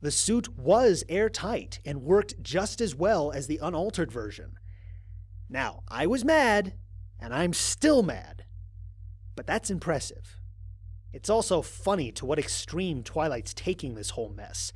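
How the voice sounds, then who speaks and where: Man acting a wry, animated lone astronaut. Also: fairly high pitch, measured pace, husky, heavily acted. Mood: exasperated, amused. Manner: conversational, expressive, sardonic. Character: lone male astronaut narrating mission logs, wry, exasperated. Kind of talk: dramatized first-person log narration.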